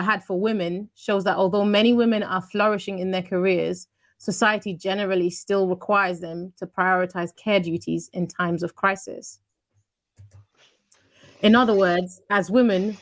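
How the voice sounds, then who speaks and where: A woman hosting a video call panel and, as an author, reading a prepared passage fluently and evenly. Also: mid-range voice. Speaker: host and author.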